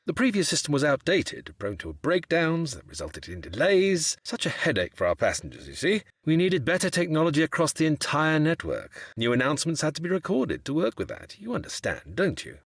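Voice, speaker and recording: Flustered official, wildly swooping intonation. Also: male voice, fairly high pitch, huge pitch swings, fairly quick, hardly any pauses, slightly rough, dry recording, clean studio sound, heavily acted. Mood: apologetic. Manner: polite, bureaucratic, hesitant. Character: flustered, officious male transport director with smooth excuses. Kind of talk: audio drama dialogue.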